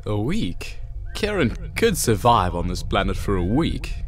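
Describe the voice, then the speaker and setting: A man playing a gruff, dismissive starship captain in sci-fi audio theatre, with a sarcastic, commanding manner. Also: on the low side, huge pitch swings, unhurried, soft background music. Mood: sardonic, grumbling.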